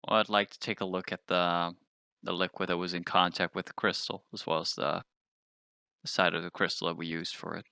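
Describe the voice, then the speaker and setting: A man's voice: low-pitched, little pitch movement, fairly quick, vocal fry, pushed and bright, clear, resonant tone, no echo, clean studio sound. A man talking casually as a player in a tabletop roleplaying game.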